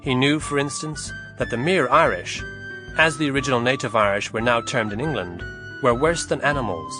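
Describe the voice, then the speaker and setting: A man narrating a historical novel as an audiobook, in a measured, expressive storytelling manner. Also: mid-range voice, over music.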